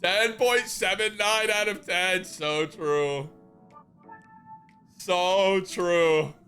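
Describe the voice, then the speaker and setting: A man's voice: high voice, fairly even intonation, drawn-out words, pushed and bright, faint music behind. A man chatting casually as a live game streamer, slangy and joking, with swearing and hyperbole. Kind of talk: casual livestream chat.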